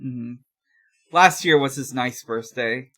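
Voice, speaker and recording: A podcast host speaking casually and haltingly, in chat and reading fanfiction aloud. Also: medium pitch, a crowd in the background. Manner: hesitant, halting.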